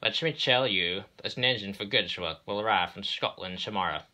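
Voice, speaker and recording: A man voicing a stern, deliberate railway director in a storybook, patient but grim and used to command. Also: fairly low pitch, sharp tone.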